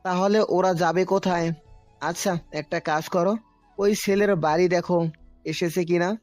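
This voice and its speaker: A man acts as an angry middle-aged father barking orders in a romantic audio drama. His voice is high for a man, dry and close, with faint music. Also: fairly even intonation, unhurried, a little mellow, no echo. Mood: angry, commanding.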